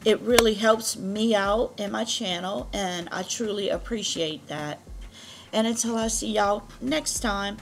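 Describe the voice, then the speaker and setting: A woman beauty vlogger reading product descriptions to camera, her voice deep, rough and strained from allergies. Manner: slow, careful reading of product copy.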